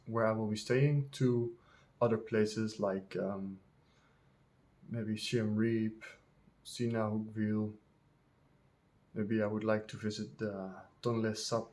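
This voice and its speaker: Dutch native speaker, man, 30s, vlogger; slow, halting, lots of ums and restarts, mid pitch. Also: darkish tone, a little hiss or hum, rough recording. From Netherlands. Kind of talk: casual unscripted monologue.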